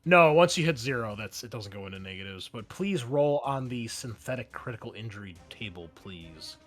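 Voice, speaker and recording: A man running a tabletop roleplaying game as game master, narrating the action in a conversational, halting way. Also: mid-range voice, expressive, fairly bright tone. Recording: faint music behind the voice. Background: faint music.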